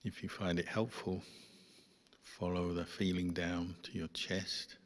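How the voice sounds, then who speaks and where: A man, a Buddhist monk, guiding a meditation in calm, measured phrases with pauses. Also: low-pitched, slight background noise. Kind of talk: guided meditation instruction. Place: large reverberant hall. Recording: long hall reverb.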